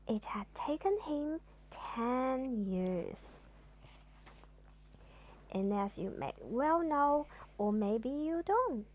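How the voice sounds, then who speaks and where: A woman's voice: on the high side, a little mellow, rough recording. A woman reads a children's storybook expressively, like a mother at bedtime, drawing out words for theatrical emphasis. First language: Chinese.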